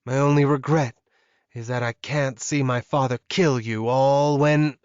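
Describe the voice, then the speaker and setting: A man's voice: medium pitch, steady tone, unhurried, a little mellow, smooth, no room sound, muffled recording, natural voice. A man voicing an evil young werewolf woman in an audiobook, pleading and emotional while she schemes. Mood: bitter, defiant. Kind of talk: audiobook character acting.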